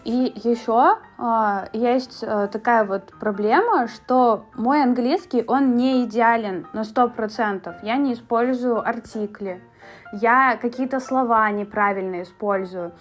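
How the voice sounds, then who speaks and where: A woman's voice: a little high, measured pace, soft background music. A young woman from Russia talking in a chatty, casual vlog style, with fillers and asides. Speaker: beauty vlogger.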